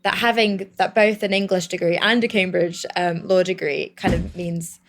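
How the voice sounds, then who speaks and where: A woman's voice: on the low side, fairly even intonation, normal speed. A young adult woman hosting a podcast, chatting casually and enthusiastically with her guest. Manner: chatty, enthusiastic, many fillers. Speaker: podcast host. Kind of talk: casual interview chat.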